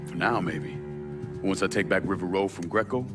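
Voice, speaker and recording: An actor playing a determined, commanding war veteran out for revenge in a video game, terse and firm. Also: male voice, low-pitched, moderate pace, loud music behind the voice, close to an everyday voice. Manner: terse, plain-spoken, firm. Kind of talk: video game cutscene acting.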